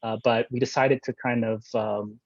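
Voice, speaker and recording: A man's voice: mid-range voice, little pitch movement, moderate pace, background noise. A man answering interview questions over a video call, hesitant and reflective with many fillers. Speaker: interview guest. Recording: dry, dull video-call audio.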